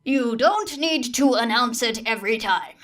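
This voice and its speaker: A woman's voice: fairly high pitch, measured pace, a bit bright, far from the mic. A woman voicing a weary, cautious male teacher in an audiobook story, exasperated and long-suffering.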